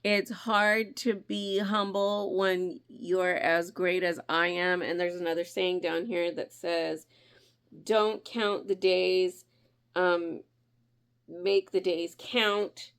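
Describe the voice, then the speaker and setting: A woman's voice: lowish voice, level tone, slow, clean tone. Nasal-sounding woman with a cold. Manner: chatty, friendly, often laughing. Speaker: crafting channel host. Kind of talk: casual vlog chat.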